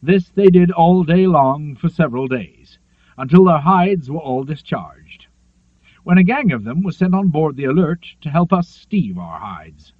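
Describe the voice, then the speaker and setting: Expressive male audiobook narrator, thin phone-like sound. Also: fairly high pitch, unhurried, no room sound. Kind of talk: scripted literary narration of a seafaring memoir.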